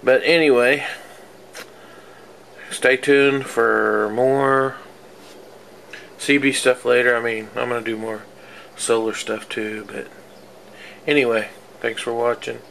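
Clear background noise sits under this man's voice, recorded on a home camera. He chats casually in a folksy, rambling way, hesitating as he goes. His pitch sits in the ordinary middle range for a man. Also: little pitch movement, slow pace, pauses often, a bit bright. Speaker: hobbyist video maker. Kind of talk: casual off-the-cuff project chat.

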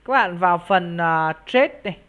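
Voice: mid-range voice, animated, normal speed, clear, resonant tone, muffled recording. A video host giving an informal screen-share walkthrough, explaining things directly to viewers. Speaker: YouTube crypto project reviewer and channel host.

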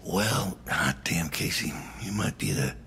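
An actor voicing a cocky, flirtatious cowboy crime boss in a video game, with a gravelly, drawling, slangy delivery. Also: male voice, low-pitched, animated, unhurried, sharp tone, slight background noise, full character voice. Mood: cool, sly. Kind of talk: cinematic video game acting.

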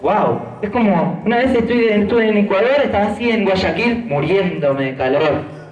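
A man's voice: high-pitched, animated, moderate pace, slight background noise. A man with an Argentine accent hosting a variety show as a clown, with playful, campy banter with the crowd. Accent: Argentine Rioplatense Spanish. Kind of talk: stand-up banter with the audience. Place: outdoor patio stage at night. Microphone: handheld wireless mic, filmed from a distance. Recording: echoey, from a distant camera.

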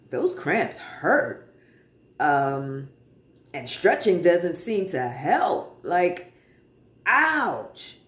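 A man in his forties talks to his camera from a living-room sofa in an easy, chatty way, pausing often. He speaks slowly at a middle pitch, and the small room lends his voice a noticeable echo. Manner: relaxed and chatty, many pauses and fillers. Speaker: vlogger. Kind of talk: casual personal update to camera. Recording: roomy, echoey home room.

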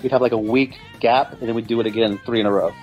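A man's voice: medium pitch, steady tone, quick pace, highs cut off. Man in interview, music behind. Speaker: animation producer being interviewed. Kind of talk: casual interview talk.

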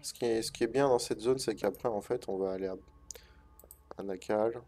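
A man with a head cold, giving relaxed, hesitant commentary over gameplay from a desk mic at home, with many fillers. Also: lowish voice, normal speed. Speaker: gaming video host.

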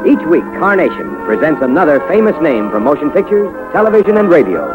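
A man's voice: fairly high pitch, sing-song, fast talker, phone-quality sound. A man with an American accent announcing and narrating a 1950s radio show in a polished, emphatic style. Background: music.

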